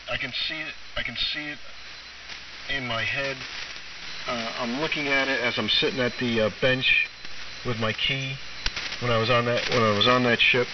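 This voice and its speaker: A middle-aged or older American man chatting casually as a ham radio operator over AM radio, halting and often repeating himself. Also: mid-range voice. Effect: AM shortwave radio. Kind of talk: casual rambling radio chat. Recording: muffled, very noisy AM shortwave radio, distant. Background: loud static and radio noise.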